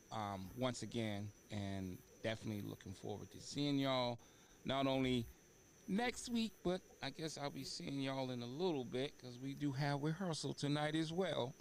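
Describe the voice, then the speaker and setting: A man hosting a talk show in a conversational interviewing style, often halting as he searches for words. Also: mid-range voice. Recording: noticeable background room noise.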